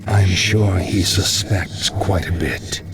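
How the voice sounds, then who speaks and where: An actor playing a sinister, patient necromancer in a video game, with a gravelly, drawn-out, ominous delivery. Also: male voice, sits low, music in the background, full character voice. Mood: sly, ominous. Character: sinister, patient necromancer ghost, sly servant. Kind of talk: video game cutscene acting.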